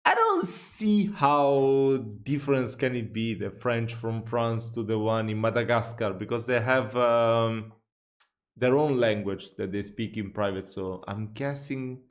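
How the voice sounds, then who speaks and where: A man's voice: mid-range voice, measured pace. Guy talking over a clip in a reaction vid, chatty, lots of uh and little laughs. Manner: chatty, thinks aloud with fillers and small laughs. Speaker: reaction video host. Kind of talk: casual reaction commentary.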